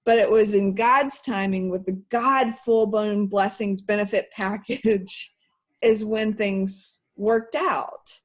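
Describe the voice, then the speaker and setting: A woman's voice: medium pitch, fairly even intonation, slow. A woman, teaching an online church class through earnest personal stories. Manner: earnest, personal storytelling with soft laughs.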